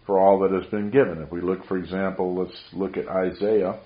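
A very low-pitched man preaches in an earnest, rambling way, his carrying voice ringing around a roomy space. The recording sounds muffled and dull. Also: fairly even intonation, fairly quick, slight background noise. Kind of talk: sermon.

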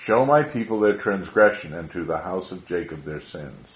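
A very low-pitched man preaches in an earnest, rambling way, his carrying voice ringing around a roomy space. The recording sounds muffled and dull. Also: lively intonation, normal speed. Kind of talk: sermon.

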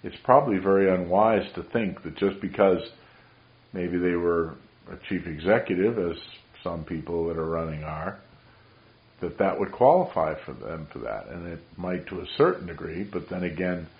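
A man's voice: deep voice, animated, normal speed. A man preaching a sermon in an earnest, rambling, preacherly way, with a voice he says carries far. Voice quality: carrying voice. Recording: dull roomy recording.

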